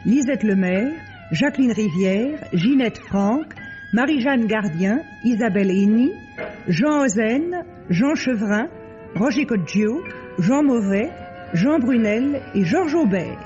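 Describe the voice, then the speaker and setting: A man announcing the credits of a radio drama in a measured, formal way. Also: sits high, darkish tone. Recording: dull old radio archive with music.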